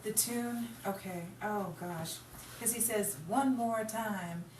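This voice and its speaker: A woman's voice: medium pitch, slow pace. A playful, exclamatory woman directing and bantering casually with her cast during a rehearsal. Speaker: host and director of an old-time radio comedy troupe. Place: echoey room with cast members around. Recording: noisy, echoey room, distant mic, loud chatter behind.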